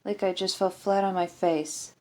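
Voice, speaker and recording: A woman's voice: mid-range voice, fairly even intonation, moderate pace, dark tone, natural voice. A woman voicing a groggy male marshal in an audiobook story, plainspoken, kind and reassuring. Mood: weary, reassuring.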